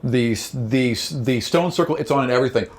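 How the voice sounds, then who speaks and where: A man's voice: medium pitch, fairly quick, a little hiss or hum. A man working as game master, narrating a tabletop roleplaying game with vivid, informal storytelling. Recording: music playing behind the voice. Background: music.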